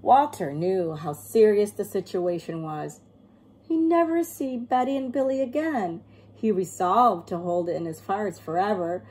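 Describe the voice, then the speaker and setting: A woman storyteller reads a children's picture book aloud with expression. Her voice sits at an ordinary female pitch, and she plays out the tale for young listeners. A light noise sits behind her in the recording. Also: darkish tone. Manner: acts out characters and pauses to explain words.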